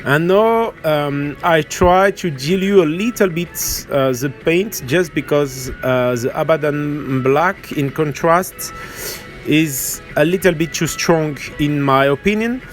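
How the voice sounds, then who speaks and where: This is a man with a French accent, a native French speaker, talking at an unhurried pace in a slightly high voice. He hesitates and gropes for words as he hosts an informal hands-on tutorial. Soft music plays underneath. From France or French-speaking region. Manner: hesitant, halting, searching for words. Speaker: miniature painting tutorial host.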